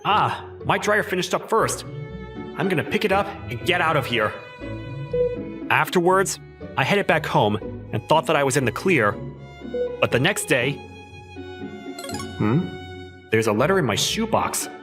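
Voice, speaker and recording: A man playing an exasperated, awkward teenage schoolboy, telling his story in an expressive, incredulous manga dub style. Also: fairly high pitch, unhurried, long pauses. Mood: resigned. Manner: expressive, incredulous, self-talking. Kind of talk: manga dub narration and dialogue. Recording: music behind the voice.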